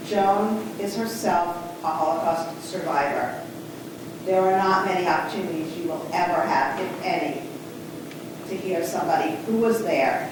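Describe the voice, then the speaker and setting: A woman's voice: medium pitch, noisy background. A woman from the host committee giving an earnest formal introduction of a guest speaker. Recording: distant voice in an echoey hall.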